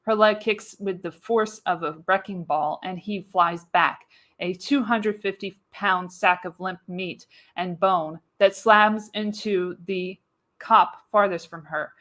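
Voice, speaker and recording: A woman's voice: medium pitch, fairly even intonation, slow, fairly bright tone. A woman reading scripted action as the narrator of a live radio play, with dramatic storytelling emphasis.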